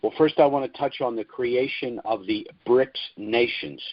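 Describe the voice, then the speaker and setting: A man hosting a financial conference call, giving an informal briefing in a measured, explanatory manner with formal wording. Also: medium pitch, no echo. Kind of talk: informal prepared briefing to listeners. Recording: dull, lo-fi conference call audio.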